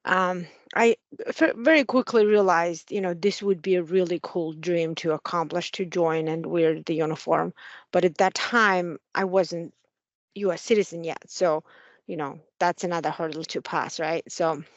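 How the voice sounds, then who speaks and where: A woman's voice: fairly low pitch, moderate pace, dry recording, muffled recording. A woman in her forties from Mongolia with a Mongolian accent, an Air Force officer answering interview questions earnestly with many fillers. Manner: frequent fillers, friendly and earnest.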